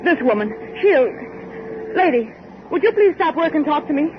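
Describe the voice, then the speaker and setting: Anxious, high-voiced young heiress. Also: female voice, lively intonation, moderate pace. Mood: insistent, pleading. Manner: theatrical, urgent, questioning. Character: anxious, insistent young heiress, horrified and defiant. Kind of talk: 1940s radio horror acting. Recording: noisy, dull 1940s radio recording.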